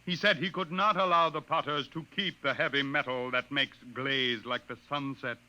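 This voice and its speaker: Solemn alien chief, piercingly clear. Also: male voice, fairly high pitch, steady tone, pushed and bright, low-quality recording. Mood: solemn, proud. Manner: formal, measured, ceremonious. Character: solemn, proud alien chief of a potters' tribe, male. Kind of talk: 1950s radio drama acting.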